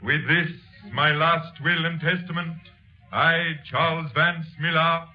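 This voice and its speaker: An actor playing a pompous man formally and deliberately reciting his will in 1930s radio style. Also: on the high side, steady tone. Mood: solemn, deliberate. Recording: dull old radio recording with music.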